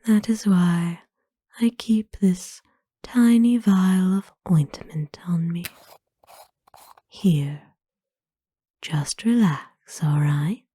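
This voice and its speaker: A woman performs a kind female vampire owner in an ASMR roleplay. Her voice is very mellow and lowish, mostly whispered in a dry, close space. She is gentle, formal and reassuring. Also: very slow, pauses often, slightly rough, no echo, full character voice. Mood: soothing.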